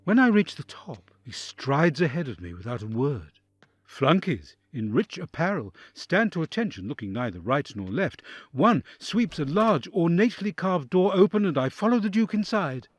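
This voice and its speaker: A man's voice: a little high, big pitch swings, normal speed, dry recording. A man playing an awed, anxious envoy who narrates a dramatic audio drama in the first person. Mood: tense, dreading.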